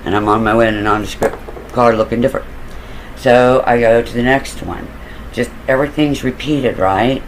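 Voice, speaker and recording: A man with a deep voice telling a long story in a loose, casual, rambling way, with fillers and the odd curse. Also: steady tone, normal speed, slight background noise, rough recording. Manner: loose and digressive, with fillers and occasional swearing.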